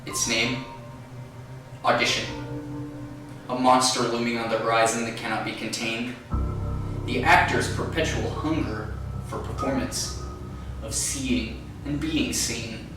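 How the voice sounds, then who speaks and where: A man's voice: medium pitch, steady tone, unhurried, long pauses, natural voice. A man playing an ominous, grandly serious narrator in a comedy, delivering portentous scripted narration. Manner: portentous, solemn. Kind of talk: scripted dramatic trailer-like narration. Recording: distant, very echoey, music underneath. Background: music.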